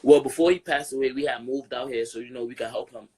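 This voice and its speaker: A young man from Brooklyn with a New York accent, speaking as a boxer guest in a casual interview. His voice sits at a middling pitch. He talks in a relaxed, laid-back way, often checking in with "you feel me?". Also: fast, breathy edge.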